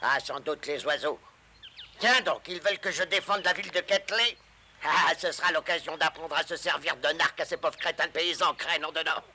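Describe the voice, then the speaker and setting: An actor playing a grumpy, scornful old village commander in an animated film dub, grumbling with contempt. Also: male voice, a little high, normal speed, slight background noise. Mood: irritated. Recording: music under the voice.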